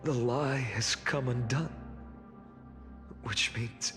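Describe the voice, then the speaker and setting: Guy playing a weary young game hero, soft and earnest, takes his time, music clearly behind him. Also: mid-range voice, slow pace, close to an everyday voice. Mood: grave. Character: solemn, weary young male hero of a fantasy quest. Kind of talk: video game voice acting.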